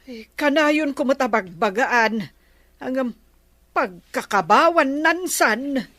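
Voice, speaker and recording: An actress with an Ilocano accent playing the exasperated, nagging wife of a village captain in a comedy radio drama. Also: female voice, high voice, lively intonation, slow pace, fairly bright tone, dry recording, exaggerated voice. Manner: nagging, scolding.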